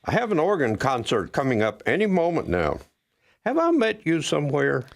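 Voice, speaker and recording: A man acting a polite, genial theater organist in an old-time radio play. His pitch swoops widely as he talks in a friendly way. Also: wildly swooping pitch, normal speed, gravelly, exaggerated voice.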